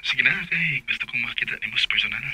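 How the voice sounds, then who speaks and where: A man's voice: mid-range voice, bright, forceful tone, breathy edge, no echo, heavily acted, low-quality recording. A man with a Cebuano accent playing an earnest, shy young suitor who is smitten, in a radio romance drama. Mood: shy, eager. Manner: earnest, halting, courting.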